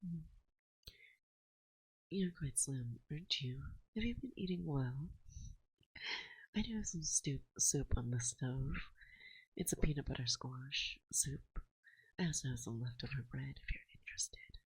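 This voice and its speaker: Breathy, slow-talking forest witch, acted by a woman. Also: extremely low pitch, no room sound, full character voice, poor audio. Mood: doting, chatty. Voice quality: soft, very breathy, often slipping into whisper. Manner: slow, teasing, coaxing, with musing pauses. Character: playful, dominant forest witch, teasing and doting. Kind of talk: ASMR roleplay, soft speech with whispering. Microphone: close binaural microphone.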